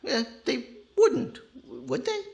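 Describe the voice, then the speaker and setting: A man's voice: very high-pitched, wildly swooping pitch, takes it slowly, gravelly, a little mellow, heavily acted. A man playing a cheeky, naive boy ventriloquist dummy who wisecracks and overreacts in 1940s radio comedy style. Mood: resigned. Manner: wisecracking, exaggerated reactions.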